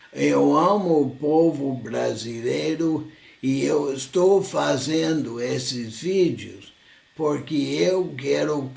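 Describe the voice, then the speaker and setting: An older American man, a native English speaker, telling his own story slowly in a church lesson, pausing often and correcting himself. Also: medium pitch, gravelly, echoey room. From United States. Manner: slow, halting, with self-corrections and frequent coughs. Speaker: church teacher. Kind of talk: slow personal recollection, lesson.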